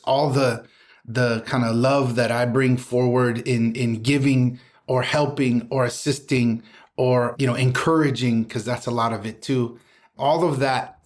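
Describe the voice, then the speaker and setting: A middle-aged man from Southern California, a poet reciting his work with a rhythmic spoken-word cadence, then talking casually in an interview. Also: medium pitch. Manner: rhythmic spoken-word delivery, mixing in Spanish words. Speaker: poet and guest.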